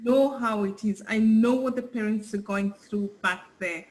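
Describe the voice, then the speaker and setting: A woman's voice: medium pitch, moderate pace, a little mellow, low-quality recording. A middle-aged woman from Africa whose first language is Swahili, speaking earnestly and from the heart as an interview guest, often repeating her words. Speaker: guest, parent. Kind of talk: casual interview chat.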